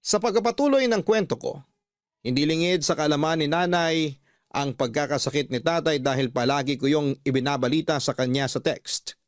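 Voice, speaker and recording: Higher-voiced man narrating, dry sound. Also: moderate pace, dry recording. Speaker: radio storyteller. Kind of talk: scripted first-person story narration.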